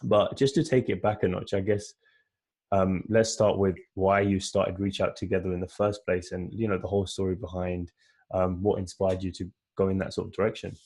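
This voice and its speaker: Guy hosting a podcast interview, real low husky voice, conversational. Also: little pitch movement, moderate pace, a little mellow. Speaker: podcast host.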